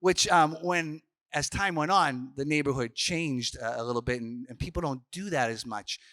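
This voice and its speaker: A man's voice: on the high side, moderate pace, fairly bright tone, no echo, studio quality. A man, a Franciscan priest telling stories in a casual youth workshop talk, full of restarts and fillers. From Boston, Massachusetts. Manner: chatty storyteller, frequent restarts and fillers.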